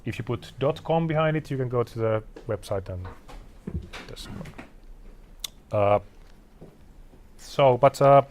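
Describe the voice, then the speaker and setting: A Finnish man in his forties, a designer giving a casual talk with slides to an audience, halting and self-deprecating, clearing his throat often. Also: mid-range voice, lively intonation, darkish tone, slight background noise. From Finland. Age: 40s. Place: event venue in front of an audience.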